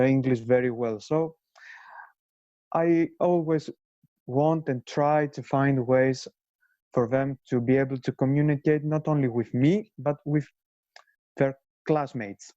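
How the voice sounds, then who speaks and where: A man's voice: medium pitch, warm tone, dry recording, muffled recording. A man, an English teacher, answering in a webinar Q&A over a video call, hesitant with frequent uhs. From Greece. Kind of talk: casual answer in Q&A.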